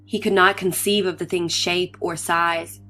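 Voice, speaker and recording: Low-voiced woman in dramatic narration. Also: level tone, unhurried, bright, forceful tone. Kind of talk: dramatic scripted narration of early science fiction.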